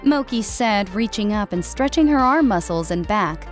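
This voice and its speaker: Seorang wanita membacakan narasi cerita fiksi sebagai narator buku audio. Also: female voice, mid-range voice, animated, normal speed, faint music behind. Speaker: audiobook narrator. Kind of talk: scripted fiction narration.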